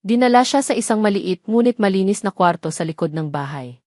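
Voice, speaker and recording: A woman's voice: mid-range voice, expressive, fairly quick, darkish tone, no echo. Woman narrating a story, dry close sound. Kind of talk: scripted dramatic story narration.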